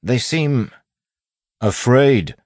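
A man's voice: medium pitch, lively intonation, very slow, darkish tone, no room sound, pitched above the performer's own voice. A man voicing a put-upon constable officer with a curt, defensive manner, in an audiobook. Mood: curt, uneasy. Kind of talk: audiobook character acting.

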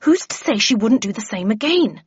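A woman's voice: on the high side, very animated, brisk, breathy edge, no echo, highs cut off, pitched above the performer's own voice, exaggerated voice. A woman voicing an arrogant, hostile middle-aged man who objects in a challenging, indignant way, in an audiobook story. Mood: angry.

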